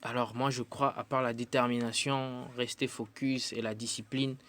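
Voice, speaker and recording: A man's voice: medium pitch, level tone, normal speed, fairly bright tone. A man, a musician guest on a radio interview who answers thoughtfully and hesitates often. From Democratic Republic of the Congo. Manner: thoughtful, hesitant with frequent fillers.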